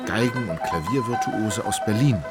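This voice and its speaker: A wry literary narrator, played by a man telling a radio drama. His voice is fairly low and measured, laced with irony, and prominent music runs beneath it. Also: close to an everyday voice. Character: ironic, literary male narrator. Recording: loud music under the narration.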